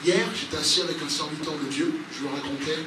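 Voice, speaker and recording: A man's voice: fairly high pitch, fairly even intonation, quick pace. A man preaching and praying as a pastor to a congregation, speaking spontaneously and emphatically with repeated exhorting phrases. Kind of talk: spontaneous charismatic sermon with prayer. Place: large echoey room, church service. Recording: roomy, distant mic, noisy background.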